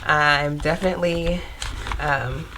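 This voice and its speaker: A young woman with a stuffy nose from a cold, chatting casually to viewers while counting out cash in a steady murmur. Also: deep voice, slow pace, background noise. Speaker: budgeting vlogger.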